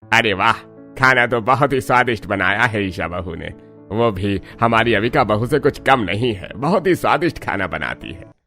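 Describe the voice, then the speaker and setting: A man's voice: lowish voice, lively intonation, normal speed, bright, forceful tone, soft background music. A man playing a hearty, approving elderly father-in-law in a family cartoon. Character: appreciative elderly father-in-law, head of the household.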